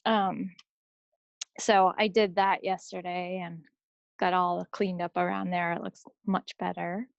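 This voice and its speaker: A woman talks casually as a podcast co-host. Her voice crackles with vocal fry and sits dry, with no room around it. She comes across as chatty and informal. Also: on the low side, little pitch movement, slow, no room sound, lo-fi sound. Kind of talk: casual chat about crafts and home life.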